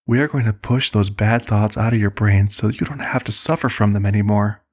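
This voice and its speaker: A man's voice: fairly low pitch, a little fast, lightly acted. A man performing an ASMR roleplay as a serenely manipulative cult leader, soothing, condescending and persuasive. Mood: coaxing, reassuring.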